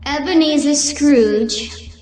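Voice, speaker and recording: A woman playing a solemn, commanding, ageless spirit in a radio drama, speaking in a stately, deliberate manner. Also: high voice, over music. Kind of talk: theatrical radio drama acting.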